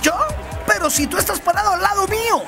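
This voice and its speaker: A man voicing an excitable, dramatic, vain young male soccer player in a cartoon dub. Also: very high voice, brisk, gravelly, music in the background. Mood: indignant, dramatic. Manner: dramatic, exclaiming. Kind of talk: animated cartoon dub acting.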